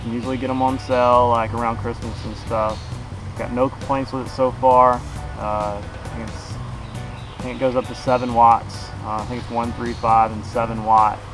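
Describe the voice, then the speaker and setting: A man's voice: mid-range voice, little pitch movement, moderate pace, over music. Casual man reviewing gear outdoors. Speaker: kayak fishing vlogger, product reviewer. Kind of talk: casual unscripted product review to camera. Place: outdoors in a grassy backyard beside a shed. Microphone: camera a couple of metres away.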